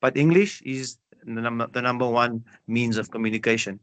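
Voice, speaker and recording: A middle-aged man from South Africa, answering interview questions in a measured, explanatory way and often repeating phrases. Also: mid-range voice, steady tone, fairly bright tone.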